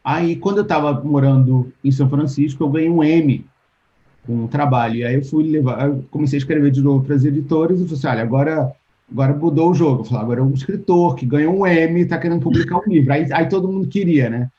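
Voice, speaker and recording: Brazilian man, dark lo-fi call voice. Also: fairly high pitch, quick pace. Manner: thoughtful, restarts often, acts out quoted exchanges. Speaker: interview guest, writer. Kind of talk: casual interview talk. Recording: dull lo-fi call audio.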